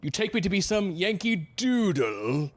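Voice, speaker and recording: A performer acts out a menacing ship's first mate for an audio drama. The speech is slow, pompous and antiquated, with pitch that moves around to intimidate. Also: very animated. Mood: suspicious, hostile. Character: menacing, archaic-talking first mate, fanatical method actor. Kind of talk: theatrical audio drama acting.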